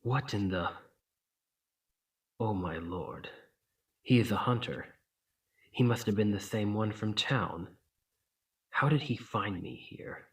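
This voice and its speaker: Courteous 19th-century vampire, played by a man, deep and breathy, gentle, formal and protective, ASMR roleplay. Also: slow pace, pauses often. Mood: alarmed, hushed. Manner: gentle, formal, old-fashioned phrasing. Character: desperate, courteous, protective male vampire of the 1820s.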